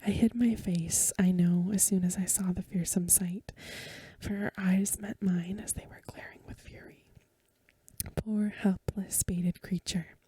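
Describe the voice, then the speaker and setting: A woman reading a story in a gentle, breathy, whispered ASMR style. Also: fairly low pitch, fairly even intonation, a little slow, poor audio. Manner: gentle, hushed delivery. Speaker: ASMR reader.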